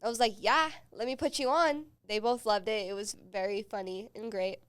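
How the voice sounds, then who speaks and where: A woman's voice: medium pitch, fairly even intonation, moderate pace, clean tone. A young woman hosting a podcast, telling casual stories with frequent laughs and swearing. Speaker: podcast host. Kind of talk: casual chat.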